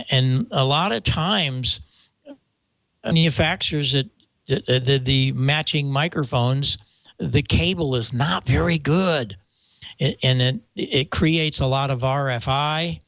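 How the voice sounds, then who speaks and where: An older American man talks casually as a show host. His delivery rambles and halts, with stammered restarts as he explains equipment. The pitch is average for a man and the sound is dry, with little room echo. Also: a bit bright. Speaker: show host and microphone maker. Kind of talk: casual show talk, explaining audio gear.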